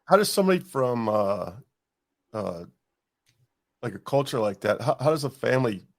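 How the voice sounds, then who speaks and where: Male podcast host; gravelly mid-range voice, curious and halting. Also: medium pitch, sing-song. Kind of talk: casual interview questions.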